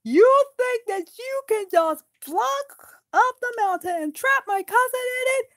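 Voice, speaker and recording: A woman voicing a brash, boastful male dwarf warrior who shouts threats. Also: extremely high pitch, expressive, measured pace, no echo, clean studio sound, voice pushed up, exaggerated voice.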